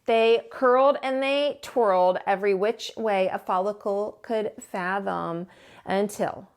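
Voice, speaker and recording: Slow-paced woman reading a children's storybook. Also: medium pitch, clean tone. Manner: theatrical, drawn-out emphasis on words. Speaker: teacher reading a picture book aloud. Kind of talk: expressive children's storytime read-aloud.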